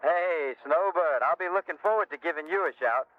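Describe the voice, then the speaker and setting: A man's voice: a little high, normal speed. A man playing an easygoing, sociable CB hobbyist, speaking folksy slang through a radio filter. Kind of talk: scripted CB radio role-play. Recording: tinny band-limited CB radio.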